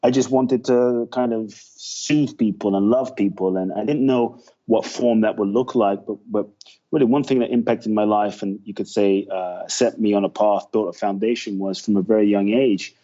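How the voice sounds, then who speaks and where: A man raised in London, telling his life story as an interview guest in an earnest, heartfelt way with frequent pauses. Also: fairly low pitch, steady tone, warm tone, lo-fi sound. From Ghana, raised in London.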